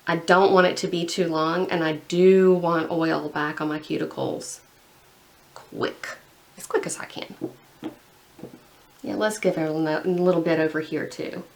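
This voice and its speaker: A young woman chatting casually while painting her nails, rambling and full of "like". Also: fairly low pitch. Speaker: beauty vlogger.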